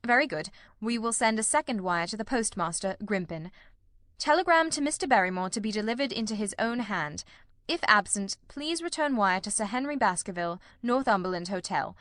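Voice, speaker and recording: Storybook male detective played by a woman: shrewd, commanding, formal, medium pitch, dry recording. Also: lively intonation, a bit bright, no room sound, close to an everyday voice. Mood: brisk, commanding. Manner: measured, formal, authoritative. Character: shrewd, composed gentleman detective, commanding and analytical.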